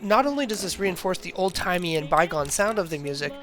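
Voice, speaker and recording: A man's voice: sits high, lively intonation, a little fast, a bit bright. A man reading a scripted analytical video essay in a formal, academic manner.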